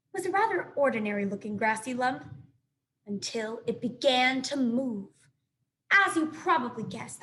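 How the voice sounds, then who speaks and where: A woman teacher reading a children's story aloud with expressive, carefully phrased narration and dramatic emphasis. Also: high-pitched, slight background noise, rough recording.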